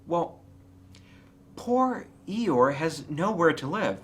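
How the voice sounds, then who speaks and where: A kindly storybook bear, read by a man with a lively, swinging intonation. He is simple and earnest, musing aloud with confidence and a slightly muddled manner. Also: fairly high pitch, drawn-out words, pitched above the performer's own voice, exaggerated voice.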